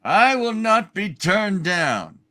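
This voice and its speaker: A man's voice: sits high, sing-song, takes it slowly, sharp tone, pitched above the performer's own voice, exaggerated voice. A man playing a scheming, imperious rich villain with a haughty, menacing delivery in a hammed-up radio play.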